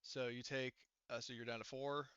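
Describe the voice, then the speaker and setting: A man acting as game master for a tabletop session, with a bright, clear voice and a close, dry sound. He talks casually, working things out aloud and swearing here and there as he narrates. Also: mid-range voice, level tone, moderate pace, no room sound, muffled recording.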